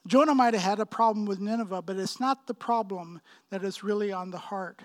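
A man in his fifties from Pakistan preaching a sermon, with emphatic, deliberate phrasing and frequent repetition. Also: sits high. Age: 50s. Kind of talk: sermon, expository preaching with personal anecdotes.

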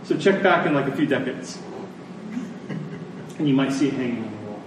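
A man preaching a sermon in a conversational, deliberate way, telling stories and explaining scripture, pausing and repeating phrases for emphasis. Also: mid-range voice, lively intonation. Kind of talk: sermon with storytelling and scripture exposition. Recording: echoey room, distant mic, faint noise.

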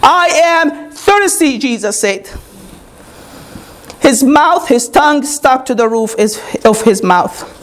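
A woman whose first language is Arabic delivers a teaching sermon. Her voice sits a touch high. She keeps checking in with the congregation as she explains. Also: animated, slow pace. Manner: calls "Amen?" to the congregation, explains step by step. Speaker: pastor.

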